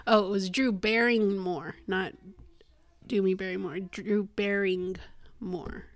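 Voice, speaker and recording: A woman's voice: mid-range voice, fairly even intonation, slow, no echo. A woman chatting casually on a podcast as a guest, giggling as she tells stories. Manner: giggly, storytelling. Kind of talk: casual chat.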